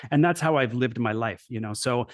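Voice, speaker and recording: A man's voice: medium pitch, normal speed, no room sound. Earnest man telling his story, dry sound. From Los Angeles, USA. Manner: earnest, reflective, with frequent restarts and "you know". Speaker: guest, coach and former professor. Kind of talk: conversational interview storytelling.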